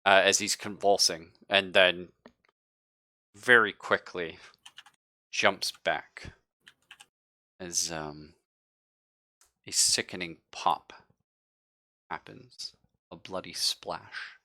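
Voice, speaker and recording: A man running a horror tabletop game as game master, narrating in deliberate, vivid detail and chatting casually with players. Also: low voice, fairly even intonation, sharp tone, no room sound. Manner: slow, deliberate, vivid descriptive storytelling with pauses.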